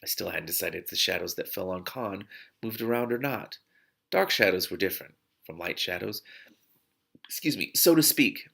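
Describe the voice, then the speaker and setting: A man reads fiction aloud in an expressive, animated way. His voice is bright and forward, with a creaky edge, and he is exuberant in his commentary. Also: low voice, normal speed. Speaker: host reading a novel aloud with live reactions. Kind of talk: expressive book reading with excited asides.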